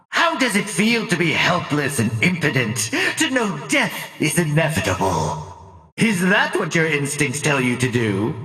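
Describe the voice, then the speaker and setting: A cruel older mad scientist from a video game, sinister and theatrical. He draws out his mocking words slowly, with pitch that leaps and dives dramatically. The voice rings in an echoey, reverberant space, full of condescension and glee. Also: bright, forceful tone, heavily acted. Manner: condescending, drawn-out, mocking articulation. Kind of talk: video game villain acting.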